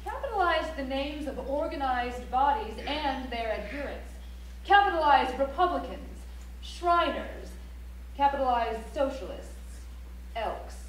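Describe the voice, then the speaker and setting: A woman's voice: high-pitched, lively intonation, unhurried. An actress playing a prim, formal woman instructor who recites rules precisely on stage, far off in a very echoey auditorium. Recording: very echoey auditorium, distant, faint hum.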